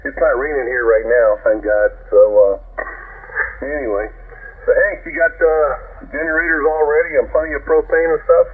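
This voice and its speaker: A man's voice: fairly high pitch, steady tone, normal speed. A man on a ham radio, chatting in a laid-back, easygoing way, calling others man and brother. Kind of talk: casual radio chat. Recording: tinny shortwave radio with static.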